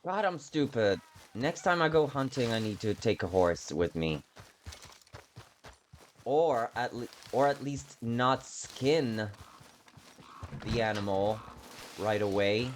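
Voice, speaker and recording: A man giving casual, rambling gameplay commentary, self-deprecating and quick to swear, as he streams a video game. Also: medium pitch, expressive, a little hiss or hum.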